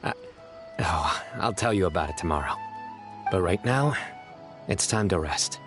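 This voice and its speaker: Low-voiced, composed game hero. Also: male voice, lively intonation, unhurried, music in the background. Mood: calm, gentle. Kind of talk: video game voice acting.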